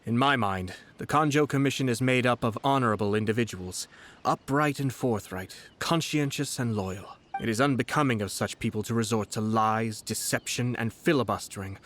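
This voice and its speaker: An actor playing a composed, shrewd young nobleman in a video game, speaking eloquently with measured, subtly mocking courtesy. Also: medium pitch, a bit bright, slight background noise, natural voice. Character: composed, shrewd young noble lord, politely cutting commissioner.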